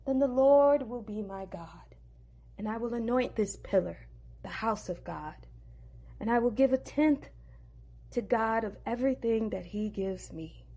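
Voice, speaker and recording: A woman's voice: a little high, lively intonation, a little slow, warm tone, breathy edge, heavily acted. A woman voicing a timid young man on the run, speaking in a wide-eyed, breathless way. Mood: solemn.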